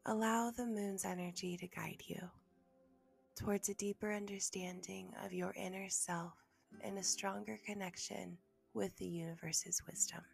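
A woman leading a guided meditation as host, speaking softly and gently in a breathy half-whisper with long, soothing pauses. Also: mid-range voice, fairly even intonation, faint music behind.

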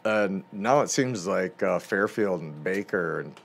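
Lively joking Montana man. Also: deep voice, a little slow, vocal fry. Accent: northern US. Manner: animated, joking, swears casually. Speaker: podcast co-host. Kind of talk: casual chat.

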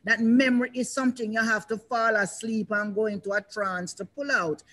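An older woman moderating a panel discussion over a video call, speaking in a reflective, measured way with pauses and restarts. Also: on the high side, steady tone, a bit bright. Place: indoors, in front of a banner.

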